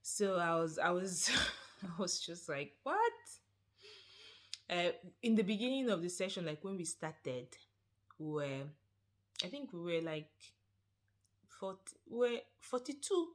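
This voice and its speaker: A Nigerian woman in her thirties talks straight to camera with a somewhat low voice. She is animated and encouraging, and she repeats her points for emphasis in a casual vlog manner. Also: takes it slowly, pauses often. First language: not Swedish. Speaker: vlogger.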